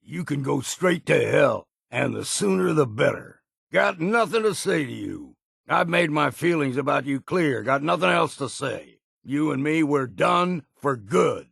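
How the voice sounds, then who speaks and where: Gravelly, weary old hunter. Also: male voice, a little high, expressive, measured pace, fairly bright tone, no room sound, clean studio sound, exaggerated voice. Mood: stern, disapproving. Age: older. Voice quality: gravelly, husky. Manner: folksy, plainspoken, rustic drawl with "ain't". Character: gruff, weary old hunter companion, grumbling and wary. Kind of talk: video game character voice lines.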